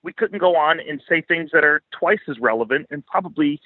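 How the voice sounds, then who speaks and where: A man's voice: a little high, animated, fairly quick, no room sound, phone-quality sound. A man speaking as a podcast guest, giving casual, opinionated financial commentary in blunt, informal language with swearing.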